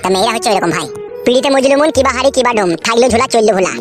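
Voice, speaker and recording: A woman's voice: a little high, very fast, over music, natural voice. A woman voicing a blunt, cynical male friend who preaches and teases in comic cartoon dialogue. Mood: mocking, preachy. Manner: rambling, preachy, teasing.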